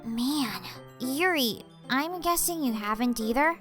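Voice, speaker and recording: Woman as high, bright, feisty schoolgirl. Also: lively intonation, slow, faint music behind, heavily acted. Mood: puzzled, worried. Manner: brash, blunt, secretly caring. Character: small, feisty, blunt teenage schoolgirl. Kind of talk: anime visual novel fan dub acting.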